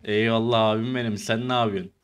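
A man's voice: lowish voice, steady tone, fast talker, clean tone. A man bantering in online game roleplay, with a teasing, mock-innocent manner.

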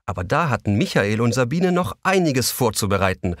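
A man narrating a children's audio play, expressive and speaking directly to young listeners. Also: medium pitch, fairly quick, no echo, studio quality.

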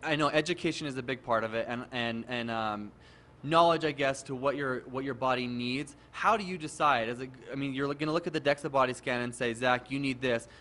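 A man's voice: mid-range voice, fairly quick, a bit bright. Upbeat chatty male TV host. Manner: upbeat, chatty, many fillers. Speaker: TV street team host. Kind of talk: casual TV segment hosting. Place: meal prep shop, indoors. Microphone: handheld mic.

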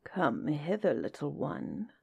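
A woman puts on a storybook crocodile, dropped low and kept soft. He coaxes slyly, with quiet menace under every word. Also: big pitch swings, creaky, slightly rough, exaggerated voice. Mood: hushed, luring. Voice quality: hushed, through the teeth at times. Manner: slow, coaxing, sinister. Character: sly, menacing, coaxing male crocodile luring prey. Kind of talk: storybook character voice.

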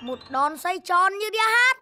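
A very high, childlike cartoon cat, chubby, eager and clumsy. It stammers excitedly and frets timidly, with music playing clearly behind it. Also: moderate pace. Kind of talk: animated cartoon dubbing.

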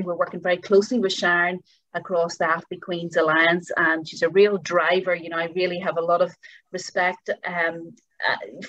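A woman's voice: lowish voice, a bit bright, no echo. A middle-aged woman hosting an online panel, introducing speakers and moving the session along. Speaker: host and moderator. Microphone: video call. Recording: dull dry video-call audio.

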